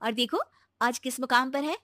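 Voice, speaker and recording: A woman voicing an earnest, encouraging young daughter-in-law who reasons gently in a cartoon moral story. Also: high-pitched, moderate pace, no room sound, clean studio sound. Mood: earnest, persuasive.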